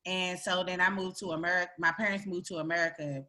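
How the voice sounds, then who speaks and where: A woman born in Greece chatting casually on a podcast, animated, laughing often and swearing freely. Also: on the low side, quick pace.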